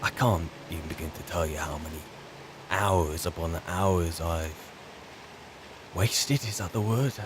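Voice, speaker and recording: A weary British servant turned adventurer, played by a man in a fantasy game roleplay. His voice sits fairly low, and he rambles wryly and haltingly, as if musing to his companions. There is faint background noise and ambience behind him. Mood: wistful musing. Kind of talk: in-character game roleplay monologue.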